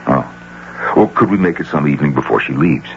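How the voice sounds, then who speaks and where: An actor playing an earnest, lovestruck middle-aged businessman in a radio drama, halting and emphatic, with a gravelly American voice. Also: rumbling low, expressive, full character voice. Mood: earnest, wooing. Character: earnest, lovestruck wealthy businessman, awkward yet insistent suitor. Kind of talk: old-time radio drama acting. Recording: dull hissy radio drama recording with hum.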